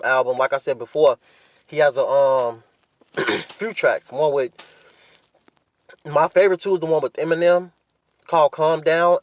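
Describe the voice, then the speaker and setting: A man's voice: mid-range voice, no room sound, dull audio. An American man hosting a podcast solo, talking casually and giving his opinions to listeners in slangy, often profane language. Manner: informal slangy talk with frequent profanity. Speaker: podcast host.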